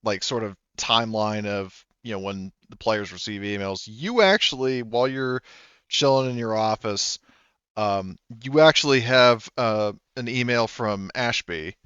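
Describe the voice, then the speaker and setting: A man running a tabletop game, thinking out loud with pauses and restarts as he lays out what happens next. His voice is smooth and resonant. The sound is dry and a little dull, as from a home mic. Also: on the low side, dry recording. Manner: hesitant, many ums and restarts. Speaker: game master. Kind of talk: explaining rules and story in a tabletop game.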